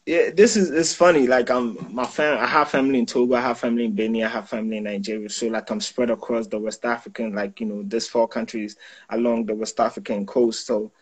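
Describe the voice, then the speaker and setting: A young man from Ghana speaks over a video call from a small indoor room. His voice is husky, with a middle pitch. He talks loosely and in slang, full of "yo" and "you know what I'm saying". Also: fairly even intonation, fast talker. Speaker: guest photographer and videographer. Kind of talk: casual interview chat.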